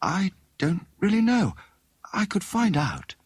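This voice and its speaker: A man's voice: high-pitched, huge pitch swings, slow pace, slightly rough, no room sound, voice pushed up, full character voice. A man voicing an anxious, polite, earnest young Englishwoman in an audiobook story. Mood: earnest, troubled. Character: anxious, well-mannered young Englishwoman, tall and steady.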